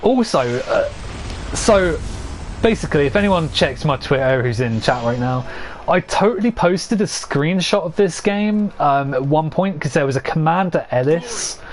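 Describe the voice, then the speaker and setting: A young man chats to his stream while he plays, rambling from one thought to the next and laughing at his own jokes. His voice sits a touch high and is starting to go hoarse. A faint background hiss runs under the home streaming mic. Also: expressive, normal speed. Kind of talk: casual gameplay commentary to chat.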